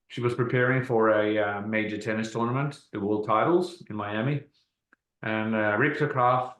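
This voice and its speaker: A man's voice: on the low side, fairly bright tone. A middle-aged man from Norway, a native Norwegian speaker, hosting an informal online team presentation. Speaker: host of a business training call. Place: indoor room on a group online call. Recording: roomy, echoey online call audio.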